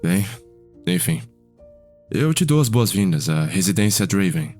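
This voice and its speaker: A man with a Brazilian accent playing a courteous, reflective vampire who tells his clan's history in a measured ASMR roleplay. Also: deep voice, very animated, darkish tone, soft background music, exaggerated voice. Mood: courteous, welcoming.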